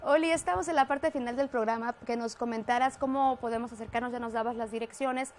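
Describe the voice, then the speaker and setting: A woman radio host with a Mexican accent, briskly reading listener calls and interjecting during a call-in interview. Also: on the high side, fairly even intonation, slight background noise.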